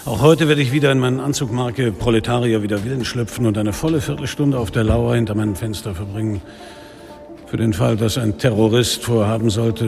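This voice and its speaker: An actor playing a weary, sardonic middle-aged police inspector in a noir radio drama, speaking drily and broodingly. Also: male voice, fairly low pitch, gravelly, darkish tone. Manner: dry, sarcastic, brooding. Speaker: actor, first-person narrator in a radio play. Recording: studio radio drama with music underneath.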